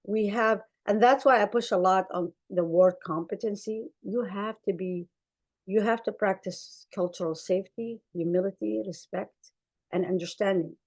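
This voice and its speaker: A woman's voice: mid-range voice, moderate pace, a little mellow, poor audio. Earnest woman presenting. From Somalia. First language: Arabic. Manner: earnest, emphatic, repeats phrases for stress. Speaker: clinician presenting. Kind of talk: conference talk with slides.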